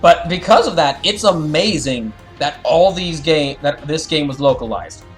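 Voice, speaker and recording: Higher-voiced man streaming over music. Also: lively intonation, moderate pace. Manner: rambling, explanatory, often restarts sentences. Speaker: streamer and host of a live fandub. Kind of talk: casual livestream commentary, chatting with viewers and reading game dialogue.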